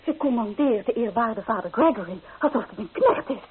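An actress playing a restless, romantic young bride-to-be in a Dutch radio drama, speaking with emotional, poetic intensity. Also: female voice, on the high side, expressive, a little fast, breathy edge, narrow, tinny audio. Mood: defiant, agitated. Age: young adult. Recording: thin, band-limited old radio recording, faint noise.

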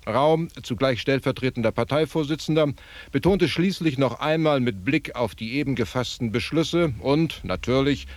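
A man's voice: medium pitch, moderate pace, no room sound. A man reading a scripted political news report as a radio correspondent.

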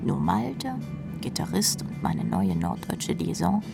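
A woman playing a jaded, sarcastic rock drummer who narrates her misadventures in a radio play, wry, deadpan and slangy. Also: low voice, takes it slowly, gravelly, full character voice. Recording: music behind the voice.

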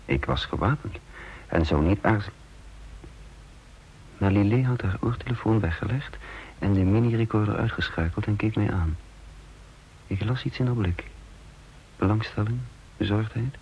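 An actor playing a guarded, earnest intelligence chief in a radio drama, musing and hesitant, on a dull, noisy old recording. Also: male voice, lowish voice, normal speed, pauses often, close to an everyday voice. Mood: reflective narration. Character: guarded male intelligence chief, flippant facade over gravity. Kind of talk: radio drama acting with first-person narration.

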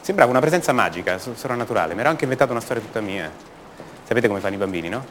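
A man's voice: mid-range voice, lively intonation, fairly bright tone, slight background noise, natural voice. An actor playing a restless, defensive young man from a privileged family in a radio monologue, hesitating and repeating words. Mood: wistful. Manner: hesitant, halting, repeats words, rhetorical tags. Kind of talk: theatrical monologue, radio drama.

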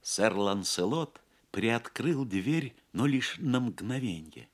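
A man narrating an audio play as a dramatic storyteller, theatrical and measured. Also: medium pitch, animated.

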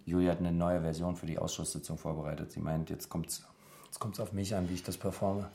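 An earnest, idealistic local politician, speaks in a low, articulate voice. The delivery is that of a naturalistic radio drama. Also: male voice, little pitch movement, a little fast, vocal fry, warm tone. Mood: wry, frustrated.

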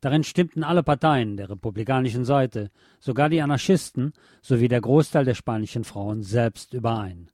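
Male documentary narrator, mid-pitched, dark mellow tone, measured factual read from a script, very dry sound. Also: dry recording. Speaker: radio presenter reading a historical feature.

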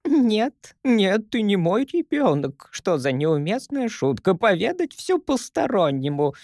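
A man voicing an ancient, tiny, merry female creature who is the first grandmother, in a teasing, giggly, drawn-out manner. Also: high-pitched, big pitch swings, a little mellow, no room sound, studio quality, voice pushed up, heavily acted. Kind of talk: audiobook character voice.

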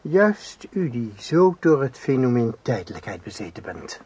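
An actor playing a polite, composed man in a Dutch radio drama, formal and quietly insistent. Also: medium pitch, big pitch swings, breathy edge. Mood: calm, insistent. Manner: courteous, formal, measured.

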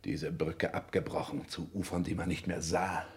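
Here is a cold, ambitious man of new wealth in a 1960s radio play. His voice sits fairly low, and he declaims haughtily, handing down clipped commands. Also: flat delivery, normal speed. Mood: brooding. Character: arrogant, ambitious self-made rich son, cold and imperious. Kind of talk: 1960s German radio drama acting.

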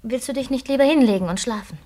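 An actress in a German radio play playing an anguished, guilt-ridden newlywed widow, speaking haltingly and repeating words when agitated. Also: female voice, a little high, mellow, natural voice. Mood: nervous, evasive.

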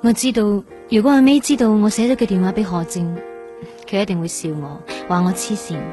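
A woman's voice: medium pitch, a little fast, music in the background, close to an everyday voice. An actress playing a resolute young woman in a radio drama monologue, reflective and earnest. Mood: reflective, resolved. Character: resolute young woman, heart transplant survivor.

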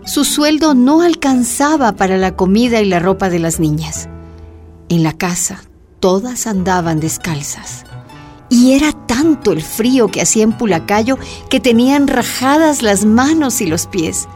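A woman's voice: a little high, animated, unhurried, darkish tone. Woman narrator over music. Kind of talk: scripted radio drama narration.

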